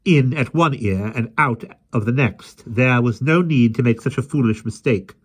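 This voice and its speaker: A woman's voice: extremely low pitch, wildly swooping pitch, moderate pace, warm tone, slightly rough, pitched above the performer's own voice, exaggerated voice. A man voicing a stern, prim nanny who scolds severely in clipped tones. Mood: severe scolding.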